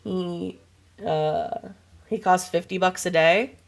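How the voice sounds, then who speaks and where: Hoarse, gravelly young woman streamer. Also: fairly low pitch, slow. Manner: rambling, swears casually, chats to viewers. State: hoarse and froggy from a cold. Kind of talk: casual livestream chat while gaming.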